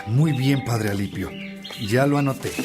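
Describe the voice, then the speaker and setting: A man's voice: medium pitch, over music, lightly acted. A man acting an eager, obedient scribe who answers deferentially in a religious radio drama. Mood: dutiful. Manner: eager, deferential, brisk short replies. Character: Faustino, eager, obedient male scribe serving the priests.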